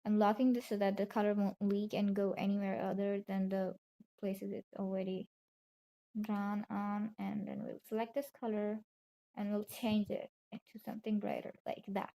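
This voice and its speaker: A young woman with a raspy voice from a nap, softly talking through her digital painting on a live stream. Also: medium pitch, flat delivery. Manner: soft, unhurried commentary on her own drawing. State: just woke up from a nap. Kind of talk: casual narration while drawing.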